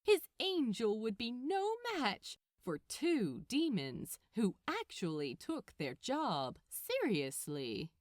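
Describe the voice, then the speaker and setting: Highly expressive woman narrator, higher voice. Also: slow, hardly any pauses, no room sound, clean studio sound. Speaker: audiobook narrator of fan fiction. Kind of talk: expressive scripted story narration.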